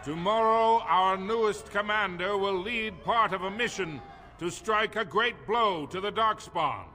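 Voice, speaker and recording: A man's voice: high-pitched, a little slow, sharp tone. An actor playing a proud, stern dwarf king in a fantasy game, with formal, imperious oratory. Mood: ceremonial, rousing. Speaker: king addressing his court. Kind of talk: theatrical fantasy game acting. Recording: reverberant hall, music underneath.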